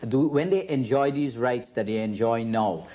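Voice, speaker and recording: A man's voice: medium pitch, normal speed, warm tone. A man hosting a panel discussion, asking long, probing questions and often restarting his sentences. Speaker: host and moderator.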